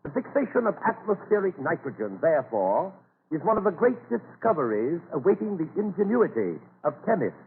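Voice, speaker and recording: Grave eminent scientist, formal oratory, sweeping intonation, old radio drama, tinny, clear background noise. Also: male voice, on the high side, sing-song, brisk. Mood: solemn, urgent. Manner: formal, solemn, declamatory. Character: grave, eminent Victorian male scientist warning mankind. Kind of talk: 1930s radio oratory acting.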